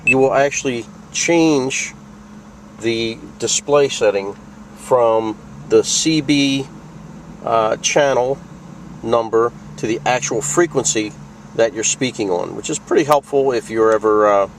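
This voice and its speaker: A man's voice: medium pitch, measured pace. Man giving a casual tutorial, distant noisy recording. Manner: plain-spoken, explanatory, step by step. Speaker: video host demonstrating a radio.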